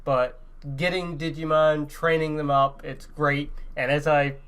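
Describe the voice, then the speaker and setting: A man with a moderately high voice co-hosts a gaming podcast. He talks in an informal, conversational way, with the odd swear word. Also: little pitch movement, unhurried, fairly bright tone, smooth. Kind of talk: casual podcast chat.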